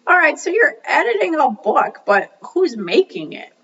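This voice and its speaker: A woman voicing a curious, excitable puppet who asks questions in an exclamatory way during a puppet show dialogue. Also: high voice, normal speed, distant mic, lo-fi sound, pitched above the performer's own voice, exaggerated voice.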